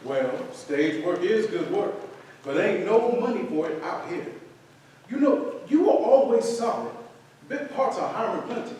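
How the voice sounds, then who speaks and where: A man's voice: high-pitched, animated, a little slow, darkish tone. A man playing a confident, breezy veteran actor in his thirties in a staged reading. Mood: breezy, teasing. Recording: very echoey room, distant mic, faint noise.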